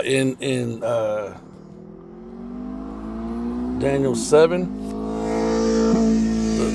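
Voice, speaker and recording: A man's voice: medium pitch, creaky. A man giving a blunt, profane religious commentary in a casual ranting style, swearing heavily.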